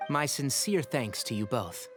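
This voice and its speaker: Easygoing young male game scholar, played by a man: courteous, animated, clear music underneath. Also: medium pitch, measured pace, lightly acted. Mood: agreeable. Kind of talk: video game dialogue.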